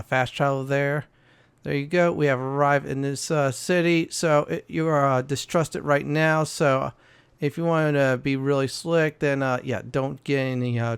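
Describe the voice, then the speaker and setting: Guy hosting a game walkthrough, creaky voice, stop-start with lots of uhs, dry close sound. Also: a little high, no room sound. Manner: halting, hesitant delivery with frequent uhs. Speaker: gaming walkthrough host. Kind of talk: casual gameplay commentary.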